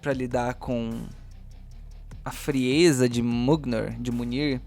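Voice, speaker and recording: A man's voice: medium pitch, fairly even intonation, slow pace, darkish tone, faint music behind. Brazilian man narrating as game master. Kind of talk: dramatic tabletop game narration.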